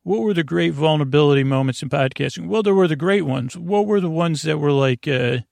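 A man hosting a bedtime podcast with a creaky, gravelly voice, meandering and halting in a goofy, self-deprecating monologue that keeps going off topic. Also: on the high side, a little mellow, dry recording. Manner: meandering, halting, goes off topic mid-sentence. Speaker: podcast host.

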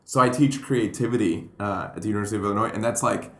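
A man from Puerto Rico, a comedian telling stories in a rambling, animated way on a podcast. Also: lowish voice, fast. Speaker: comedian and guest. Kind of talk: casual podcast chat. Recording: roomy echo.

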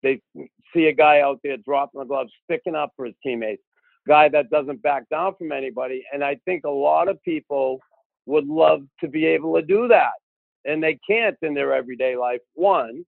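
Gravelly Boston man on a tinny line. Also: fairly high pitch, moderate pace, no room sound. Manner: gruff storyteller, frequent "uh" and restarts. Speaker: podcast guest, former hockey enforcer. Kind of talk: casual podcast conversation. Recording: tinny phone-like line, dry.

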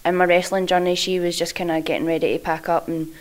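Joking woman, lower voice. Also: flat delivery, quick pace. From Scotland. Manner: jokey, laughs often. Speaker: guest wrestler. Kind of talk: casual interview chat.

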